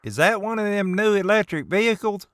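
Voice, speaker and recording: Man acting as folksy cartoon gearhead, blunt, boastful, highish voice, bouncy intonation. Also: animated, brisk, a bit bright, no echo. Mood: scornful boasting. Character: opinionated, folksy American muscle-car enthusiast. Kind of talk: animated comedy dialogue.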